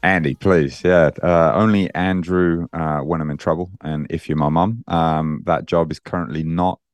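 A man with a Birmingham accent, a guest coach in a casual podcast interview, talking thoughtfully with frequent pauses and fillers. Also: low-pitched, fairly even intonation, dry recording. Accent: Birmingham English. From England. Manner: thoughtful, many fillers and restarts. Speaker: guest, nutrition and training coach.

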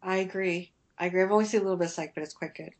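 A woman's voice: lowish voice, steady tone, very fast. A woman co-hosting a casual podcast chat, stuffed up and tired, reading listener feedback aloud with small slips. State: stuffed-up nose. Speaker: podcast co-host.

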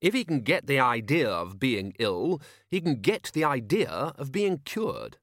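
A man voicing a loud, hearty, blustering older male archchancellor wizard in a storybook reading. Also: mid-range voice, very animated, a little slow, no room sound, studio quality, heavily acted.

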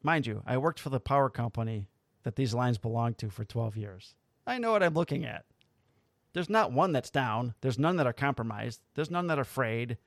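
Cop and podcast host, middle-pitched, blunt storyteller who swears freely. Dry, close-miked. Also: male voice, animated, normal speed, no echo. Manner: blunt, profane, wry. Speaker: police officer and podcast host. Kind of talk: casual storytelling.

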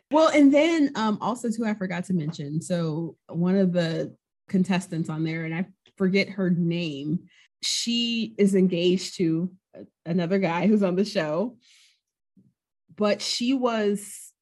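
A woman co-hosting a casual podcast, relaxed and chatty, laughing easily. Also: lowish voice. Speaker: podcast co-host. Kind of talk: casual chat.

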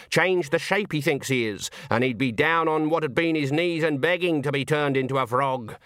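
A man reading aloud and voicing a stern, shrewd old country witch who speaks in a dry, knowing, quietly menacing way. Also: a little high, dry recording.

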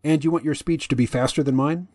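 A deep-voiced man hosting a podcast, reading his side of a dialogue in a measured, analytical way. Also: fairly even intonation, a little mellow, no room sound, studio quality. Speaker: podcast host.

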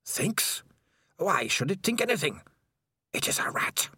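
A man voicing a shrill, hissing rat demon. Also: big pitch swings, measured pace, pushed and bright, slightly rough, dry recording, exaggerated voice. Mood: scornful. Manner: shrill, hissing, raging then whispering. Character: menacing ratlike dark spirit, male, ruthless. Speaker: audiobook reader. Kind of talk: audiobook character voice.

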